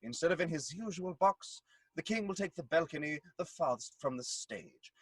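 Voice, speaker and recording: A man's voice: a little high, animated, normal speed, slightly rough, no echo. A man acting a menacing, haughty Puritan lord in an audio play, speaking formally and with condescension. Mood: menacing, officious.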